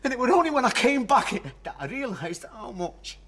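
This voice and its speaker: An actor playing a volatile, pleading estranged husband in a radio drama, agitated and insistent. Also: male voice, very high voice, big pitch swings, a little fast, sharp tone, breathy edge, exaggerated voice. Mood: pleading, tender.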